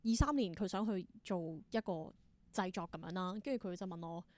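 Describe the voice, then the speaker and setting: A woman's voice: on the low side, a little fast, a little mellow, no room sound. A woman with a Hong Kong Cantonese accent speaking as an interview guest, telling stories casually and acting out bits of dialogue. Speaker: interview guest, theatre performer and creator. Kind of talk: casual conversational storytelling.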